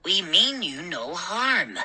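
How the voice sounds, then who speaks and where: A woman's voice: fairly low pitch, very animated, slow pace, bright, forceful tone, husky, full character voice. A woman voicing a small, mischievous male gnome who talks earnestly and insistently.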